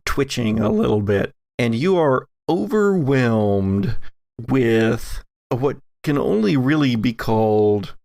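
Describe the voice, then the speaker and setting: A man's voice: mid-range voice, gravelly, no room sound, clean studio sound. A man narrating as game master on an actual-play podcast.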